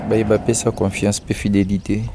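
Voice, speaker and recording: A man with a Congolese French accent talks in a loose, repetitive way, full of fillers, as he films a building job. His voice sits fairly low. Heavy outdoor noise from the camera mic runs under everything. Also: completely flat delivery, a little fast. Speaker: channel host narrating a building job. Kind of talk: casual running commentary on site. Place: outdoors on a rural building site.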